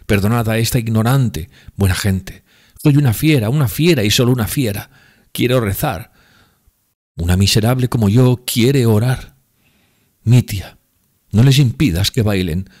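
A man voicing a drunk, impassioned young woman in an audiobook, speaking in a raised, breathy, pleading tone. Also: medium pitch, a little mellow, voice pushed up. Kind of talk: audiobook character reading.

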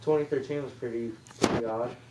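A teenage boy speaking from bed in a sleepy, deadpan way, making dry jokes. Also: medium pitch, a little fast, background noise. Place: bedroom, lying in bed.

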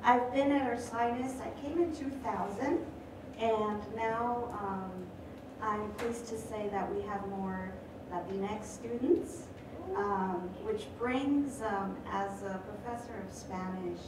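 A woman professor of Spanish asks a long question from the audience, pausing on many ums. She is heard from far away in a large echoing hall. Noise runs steadily underneath. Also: mid-range voice. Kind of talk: audience question at live event. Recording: echoey hall, far from mic.

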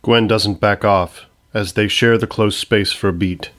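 A man's voice: lowish voice, fairly even intonation, a little slow, a bit bright. A man giving scripted narration, reading out stage directions for an audio comedy.

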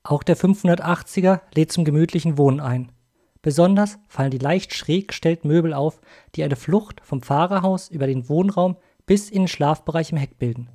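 A man's voice: fairly high pitch, fairly quick, warm tone. A man hosting a video as an informal review voice-over, speaking directly to viewers. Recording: music behind the voice. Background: music.

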